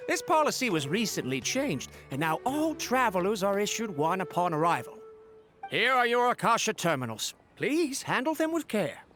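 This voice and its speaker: Woman acting courteous city official, video game, formal, explanatory, animated pitch, background music. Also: close to an everyday voice. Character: courteous, official city gatekeeper welcoming travelers.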